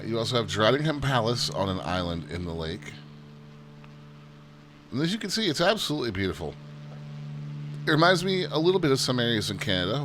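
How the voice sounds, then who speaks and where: A low-pitched man talks through a flight sim session in an easygoing, chatty tour-guide manner, joking at his own expense. A constant hum runs beneath the recording. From United States. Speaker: flight sim channel host. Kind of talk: casual gameplay commentary. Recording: steady background hum.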